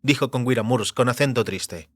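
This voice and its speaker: A man's voice: mid-range voice, moderate pace, breathy edge, dry recording. Guy narrating a fantasy audiobook, mid-range voice, very dry close recording. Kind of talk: scripted literary narration.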